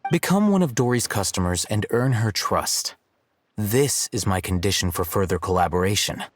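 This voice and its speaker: A man playing an aloof, shrewd young scholar in a video game, speaking in a measured, dry, articulate and condescending way. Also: on the low side, animated, a little hiss or hum, natural voice. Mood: guarded. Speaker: game character voice. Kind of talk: scripted game cutscene dialogue. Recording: music behind the voice.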